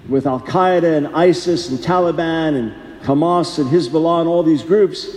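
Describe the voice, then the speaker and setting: A man's voice: fairly high pitch, mellow. A man preaching a sermon in an earnest, conversational way, often repeating himself for emphasis. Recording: echoey hall, long reverb.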